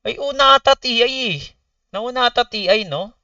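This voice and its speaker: A Filipino man chatting casually on a livestream, telling stories in animated, slangy, swear-laden talk to his viewers. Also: very high-pitched, a little fast, no echo, far from the mic, dull audio. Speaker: gaming streamer. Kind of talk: casual storytelling livestream chat.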